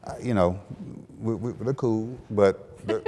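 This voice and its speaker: Male panelist from Texas, lowish voice, halting delivery with restarts, reverberant hall. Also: warm tone, slightly rough. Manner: halting, repeats words, many fillers. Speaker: panel guest, attorney general. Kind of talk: panel discussion.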